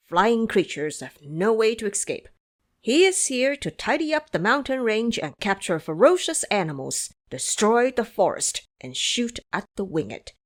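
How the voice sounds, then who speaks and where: Animated female storyteller. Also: mid-range voice. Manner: expressive storytelling. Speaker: narrator. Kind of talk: dramatic scripted audio drama narration.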